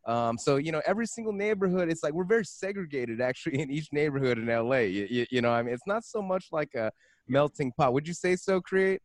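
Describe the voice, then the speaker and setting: A man's voice: on the high side, expressive, fast, dry recording, studio quality. A man from California, hosting a podcast in loose, chatty talk full of fillers. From Southern California. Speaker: podcast host. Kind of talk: casual chat.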